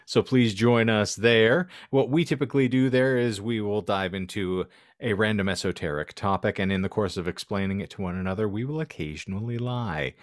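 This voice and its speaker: A man's voice: on the low side, fairly quick, dry recording, studio quality. A man hosting a comedic podcast in florid, theatrical, wordy language. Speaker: podcast host. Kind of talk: casual comedic podcast banter.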